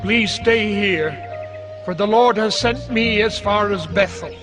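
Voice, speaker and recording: A man's voice: sits high, over music. A man playing a stern, solemn prophet in dramatized scripture audio, with weighty, declamatory delivery. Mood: solemn, gentle. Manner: slow, declamatory, weighty pronouncements.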